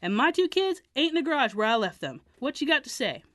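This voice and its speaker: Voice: high-pitched, big pitch swings, fast talker, fairly bright tone, no echo, clean studio sound, pitched above the performer's own voice. A reader doing a hollering father. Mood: furious. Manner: hollering, scolding. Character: angry drinking Southern father, middle-aged man.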